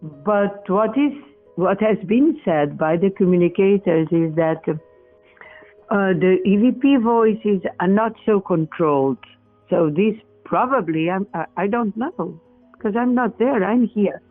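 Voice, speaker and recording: A woman's voice: mid-range voice, lively intonation, slow pace, soft background music. Older Portuguese woman on a tinny line. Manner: thoughtful, searching for words, frequent restarts. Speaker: guest, researcher of spirit voice recordings. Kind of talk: interview over a remote call.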